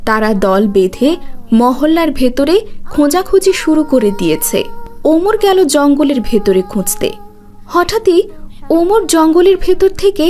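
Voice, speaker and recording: A woman narrates a cartoon story. Her voice is high and very expressive, delivered dramatically in short phrases. Faint music plays behind her. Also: unhurried.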